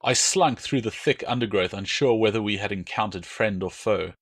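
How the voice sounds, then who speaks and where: A man's voice: on the low side, normal speed, fairly bright tone. A man voicing a wary, watchful war dog who tells his own tale.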